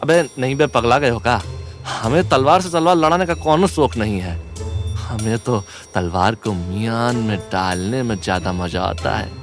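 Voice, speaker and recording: A man's voice: medium pitch, lively intonation, normal speed, soft background music, close to an everyday voice. An actor playing a cheeky, playful young male sidekick in an audio drama, talking in folksy colloquial turns of phrase. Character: cheeky, comic young male sidekick, teasing and food-loving. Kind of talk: Hindi audio drama acting.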